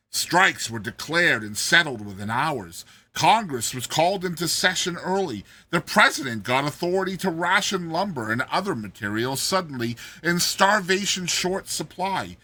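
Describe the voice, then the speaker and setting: Animated, bright-voiced man reading a story. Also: fairly high pitch, breathy edge. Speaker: narrator, story reader. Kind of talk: dramatized audiobook narration.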